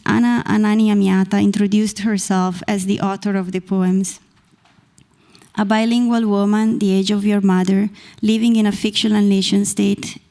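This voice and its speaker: Italian-accented woman, slow gravelly poetry reading. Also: mid-range voice, dark tone. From Italy. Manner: measured, deliberate reading from a page. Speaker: poet reading her work. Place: small stage in a dark performance venue. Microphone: stand mic close to the mouth.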